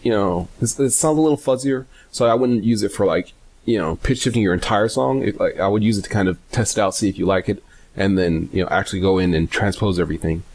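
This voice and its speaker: A man presenting a casual, unscripted software tutorial in a hesitant, rambling way, often correcting himself. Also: fairly low pitch. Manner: hesitant, halting, self-correcting, rambling delivery.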